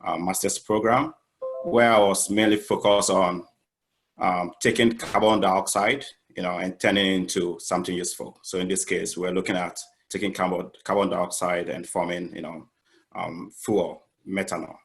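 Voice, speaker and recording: A man from Ghana, a chemist, introducing himself on an online panel, halting with many fillers. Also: deep voice, level tone, vocal fry, a bit bright. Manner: halting, many "um" and "you know".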